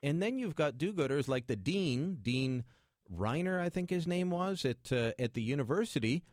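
A man's voice: a little high, animated, moderate pace, a little mellow, dry recording, clean studio sound. A man hosting a talk radio call-in show in a conversational, jokey manner.

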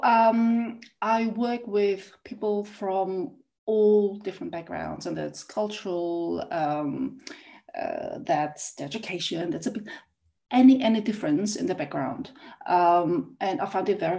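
A woman's voice: lowish voice, very animated, unhurried, gravelly, warm tone. A middle-aged woman from South Korea who grew up in Austria, speaking as a podcast guest in a reflective way with many hesitations. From born in South Korea, raised in Austria. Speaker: guest, intercultural consultant. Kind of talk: podcast interview.

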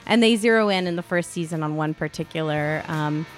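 A woman's voice: sits low, fairly quick, soft background music. A woman co-hosting a podcast in loose, casual conversation, often saying "like". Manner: conversational, peppered with "like". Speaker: podcast co-host. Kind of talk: casual chat.

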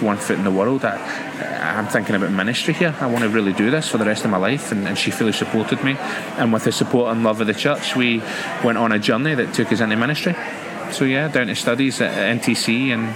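Glaswegian man over clear cafe noise. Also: fairly low pitch, fairly quick. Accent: Glaswegian Scottish. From Glasgow, Scotland. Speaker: associate pastor, podcast guest. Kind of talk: conversational personal testimony. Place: coffee house. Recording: noisy coffee house ambience. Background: cafe background noise.

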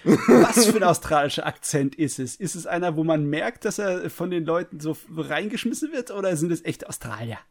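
Male co-host, gravelly and a touch high, dry jokey banter. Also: animated, moderate pace, a little mellow. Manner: joking, wry, laughs between remarks. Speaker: podcast co-host. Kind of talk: casual podcast chat.

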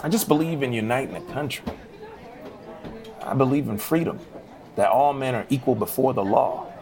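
A man's voice: mid-range voice, moderate pace, darkish tone, lightly acted. A man acting a friendly, courteous Civil War era bartender in a period drama, polite and inquisitive, with music underneath. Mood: earnest.

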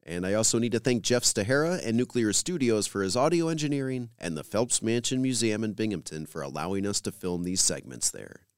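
A man's voice: fairly low pitch, expressive, a little fast, hardly any pauses, clear, resonant tone, no room sound, studio quality. A man hosting a radio program, reading scripted introductions and credits as a presenter.

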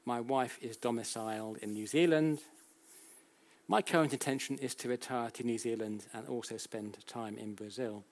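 British man lecturing, halting and conversational. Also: mid-range voice. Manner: halting, self-correcting, with frequent repetitions; conversational, checks in with rhetorical questions. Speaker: academic lecturer. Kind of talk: public lecture, explanatory and anecdotal.

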